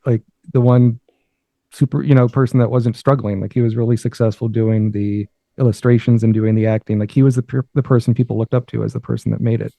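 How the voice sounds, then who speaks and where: A man co-hosting a podcast as a guest, chatting quickly and eagerly about what he hears. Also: medium pitch, little pitch movement, creaky, mellow, no room sound. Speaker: guest co-host. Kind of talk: casual podcast commentary.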